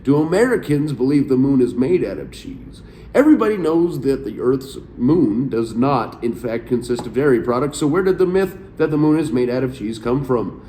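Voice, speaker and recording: A man's voice: mid-range voice, expressive, moderate pace, dark tone, roomy sound, a little hiss or hum. A man in his late thirties, co-hosting a casual podcast chat, laughing often and reading search results aloud. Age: late 30s. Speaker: podcast co-host.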